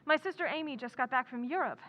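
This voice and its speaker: An actress playing a warm, forthright young woman in love in an old-time radio drama, direct and assured. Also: high-pitched, animated, fast, full character voice. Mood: bright, chatty. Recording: dull sound under loud music.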